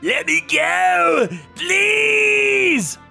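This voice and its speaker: A man's voice: very high voice, wildly swooping pitch, very slow, gravelly, soft background music, exaggerated voice. A man voicing captured male thugs who scream and beg in a manga dub. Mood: screaming in pain. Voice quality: shouting, screaming in pain. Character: two young male thugs being tortured.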